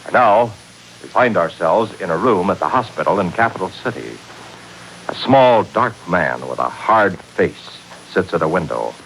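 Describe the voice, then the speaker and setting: Male 1940s radio narrator, low and animated, dramatic delivery, noisy old recording. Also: moderate pace.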